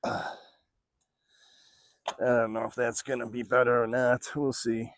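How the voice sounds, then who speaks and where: A man's voice: mid-range voice, completely flat delivery, unhurried, vocal fry, dry recording, clean studio sound. A man in his sixties from Florida, chatting casually in a rambling vlog while riding a bike on an outdoor trail. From Florida, USA. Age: 60s. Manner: rambling, chatty, with many fillers. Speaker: vlogger.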